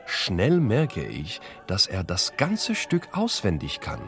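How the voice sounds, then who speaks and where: A man's voice: low-pitched, wildly swooping pitch, slow pace, a bit bright. A man reading scripted first-person radio narration as the interviewer of the piece. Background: music under the voice.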